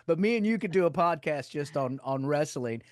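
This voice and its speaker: A middle-aged man from North Carolina with a Southern accent, a rock singer chatting loudly and laughing often as an interview guest on a video call. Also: on the high side, brisk, no room sound, studio quality. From North Carolina, USA. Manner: loud, animated, laughs often. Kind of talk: casual interview chat.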